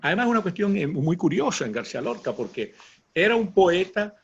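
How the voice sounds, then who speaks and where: Male talk host, slightly high and raspy, conversational and emphatic, muffled lo-fi sound. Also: very animated, a little fast. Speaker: interview host. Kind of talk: conversational interviewing. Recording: dull lo-fi sound.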